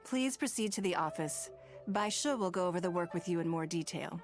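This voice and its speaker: Poised, lower-pitched commanding lady. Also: animated. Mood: composed, businesslike. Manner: formal, measured, eloquent. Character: poised, commanding, courteous wealthy woman leader, dryly teasing. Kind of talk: scripted video game dialogue. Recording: music under the voice.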